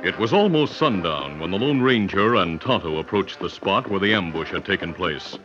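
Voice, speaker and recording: An actor serving as the narrator of a western radio serial, telling the story in a dramatic, formal old-time style. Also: male voice, sits low, expressive, moderate pace. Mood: suspenseful. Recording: muffled vintage radio recording, loud music.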